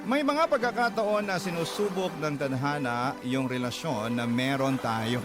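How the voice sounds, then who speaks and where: A man narrating a listener's heartfelt letter for a radio drama in an earnest, measured way. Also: a little high, lively intonation. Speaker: narrator reading a listener's letter and hosting the segment. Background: loud background music.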